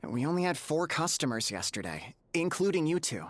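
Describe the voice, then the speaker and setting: A woman voicing a female shop worker with a dry, flat, unimpressed delivery in a video game. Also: deep voice, brisk.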